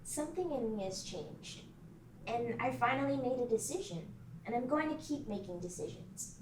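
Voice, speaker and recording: A woman's voice: fairly high pitch, normal speed, noisy background, close to an everyday voice. A woman at a table read voicing an eager, earnest teenage girl witch. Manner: earnest, excitable. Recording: roomy sound from a distant mic.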